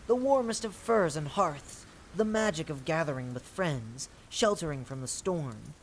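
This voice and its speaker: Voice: mid-range voice, animated, measured pace, a little hiss or hum, rough recording. A performer voicing proud, rivalrous fae courtiers of the seasons in a theatrical, declamatory audio-drama style. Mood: proud, indignant.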